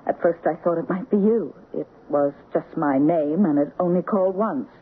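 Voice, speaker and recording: An actress with an American accent playing a dreamy, strong-willed wife, intense and halting in a 1970s radio drama. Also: female voice, on the low side, husky. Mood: mystified, intense. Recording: tinny, noisy 1970s radio broadcast recording.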